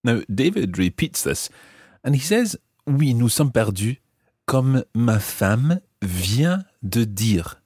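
A man hosting a scripted language lesson, explaining clearly and repeating phrases slowly and deliberately. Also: mid-range voice, very animated, a little mellow, dry recording, clean studio sound. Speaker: language teacher and host.

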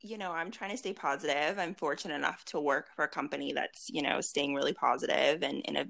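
A woman's voice: deep voice, fairly even intonation, quick pace, vocal fry, fairly bright tone. A woman in her late twenties, a guest in a casual interview, talking easily with frequent fillers and small laughs. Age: 20s. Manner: chatty, laughs often, many fillers and restarts. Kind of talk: casual video chat interview.

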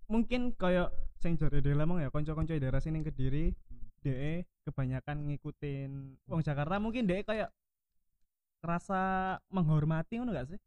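A man's voice: on the high side, moderate pace, smooth, dry recording. Young man, dull dry sound. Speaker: chat participant. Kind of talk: casual group chat.

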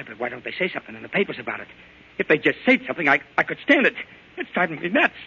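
Jittery gangster henchman, gravelly and sharp. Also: a little high, big pitch swings, very fast, exaggerated voice. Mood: wretched, drunken. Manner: slangy, nervous, whining tough-guy talk. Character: jittery, hard-drinking, conscience-stricken male gangster henchman. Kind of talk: 1940s radio crime drama acting. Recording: muffled old radio recording.

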